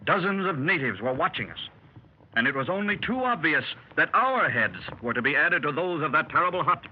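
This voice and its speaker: Here is a dramatic male explorer from a 1930s radio adventure, played by a man. His voice is high and swings widely as he tells the tale, and it turns to urgent shouted orders when the chase begins. The sound is a muffled old radio recording with faint hiss. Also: animated, a little fast, natural voice. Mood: grave. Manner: dramatic storytelling, shouting orders in the action scene. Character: daring male explorer recounting a jungle escape. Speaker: club member telling his adventure.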